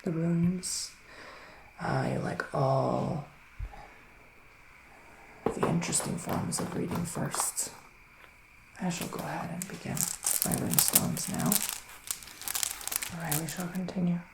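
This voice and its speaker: A man speaking softly in an ASMR roleplay as a psychic witch, often near a whisper, musing slowly with little hums. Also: a little high, creaky, roomy sound, noisy background. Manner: slow, hesitant, musing, with murmured hums. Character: gentle, mystical male witch giving readings. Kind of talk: soft-spoken ASMR roleplay, partly whispered.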